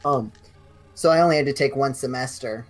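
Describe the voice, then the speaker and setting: A man's voice: mid-range voice, a little fast, soft background music. A young man chatting casually with friends on a game night stream, telling rambling stories full of restarts. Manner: rambling, animated storytelling with many restarts. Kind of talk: casual chat among friends.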